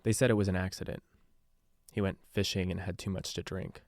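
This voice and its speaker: A low-pitched, embittered radio DJ played by a male actor records a confessional account for a podcast drama. He talks conversationally, weary and sardonic, in a very dry, close sound. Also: little pitch movement, brisk, no echo. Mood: grave recounting. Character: male radio DJ turned secretive investigator, embittered and haunted. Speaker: radio DJ narrating a taped case file.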